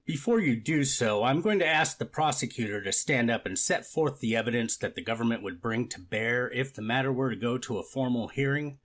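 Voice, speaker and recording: A man playing a formal judge, speaking in a measured, procedural manner in a courtroom reenactment. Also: medium pitch, a bit bright, natural voice. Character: formal, methodical male judge presiding over a hearing. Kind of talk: readers theater courtroom reenactment.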